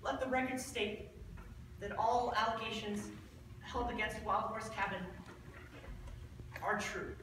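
A man's voice: high-pitched, fairly even intonation, measured pace, long pauses. A young man playing a nervous, officious camper, stammering through a formal declamation in a stage monologue. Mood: nervous, official. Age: teen or young adult. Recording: distant, noisy, very echoey school stage.

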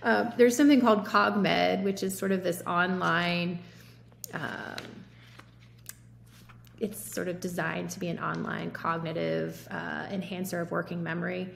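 Thirties woman doc explaining stuff, lots of ums, a bit gravelly, echoey call audio w faint hiss. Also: lowish voice, flat delivery, a little slow. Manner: explanatory, hedging with fillers. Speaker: physician guest. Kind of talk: conversational medical discussion. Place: home office with window. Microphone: laptop or webcam mic on video call. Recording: roomy video call audio with faint noise.

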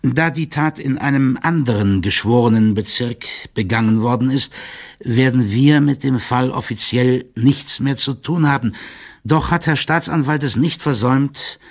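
A man playing a deferential, pedantic court clerk who reads out his letter in a formal, measured manner. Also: medium pitch, husky. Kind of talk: formal dramatic letter reading in an audio drama.

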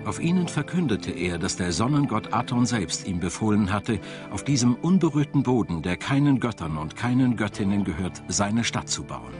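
A man narrates a documentary in a fairly low voice. His scripted delivery is measured and tells the material like a story. Music plays clearly behind him. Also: big pitch swings. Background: background music.

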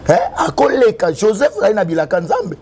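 A man's voice: sits high, animated, normal speed, darkish tone, slightly rough. A young man from Congo speaking French with a Congolese accent, a prophet who preaches fervently in an interview, mixing in Lingala and sometimes half-singing. He is recorded outdoors under cover on a lapel mic. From Congo (DRC). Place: covered outdoor terrace, tiled wall.

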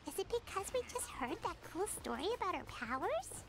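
A woman voicing a tiny, cheeky, excitable childlike fairy companion in an anime game, exclaiming and speaking of herself in third person. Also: extremely high pitch, a little fast, exaggerated voice. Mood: puzzled, cheeky. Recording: background music under voice.

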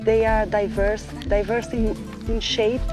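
A woman's voice: medium pitch, flat delivery, measured pace, music in the background. Woman talking about ants. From Brazil. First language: Portuguese. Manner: hesitant, plain phrasing. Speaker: entomologist. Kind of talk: documentary interview.

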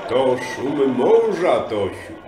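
Man playing a gentle, slow-spoken old grandfather. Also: medium pitch, very animated, slight background noise. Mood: kindly. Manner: gentle, patient. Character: kindly old grandfather indulging his little granddaughter. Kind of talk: children's radio play acting. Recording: roomy, music behind.

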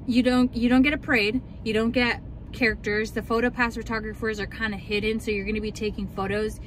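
A woman's voice: on the high side, little pitch movement, brisk, a bit bright, a little hiss or hum, poor audio. A young woman vlogger chatting and ranting casually in a car, full of likes and ums. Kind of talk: casual chat.

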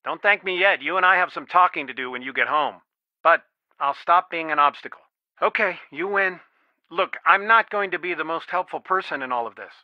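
An actor playing a protective, loving, middle-aged father in a video game, earnest and measured. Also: a little high, no echo, dull audio, natural voice. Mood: resigned, serious. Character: protective, worn, loving father of a young detective.

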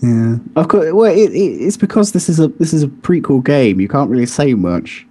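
A man's voice: fairly high pitch, lively intonation, normal speed, warm tone, husky. A man co-hosting a podcast in a casual debate about video games, insistent and contrarian in how he talks. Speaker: podcast co-host.

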